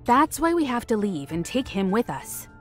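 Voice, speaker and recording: A woman's voice: a little high, sing-song, normal speed, faint music behind. A woman voicing a composed, confident female leader in a video game, speaking in an assured, poised manner. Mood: calm, assured.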